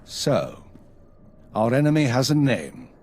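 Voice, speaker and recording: An actor playing a stern intelligence chief in a video game, with a husky voice and a clipped, authoritative, measured delivery. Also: male voice, mid-range voice, big pitch swings, darkish tone. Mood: grave, commanding. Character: stern male spymaster, commanding and coolly measured.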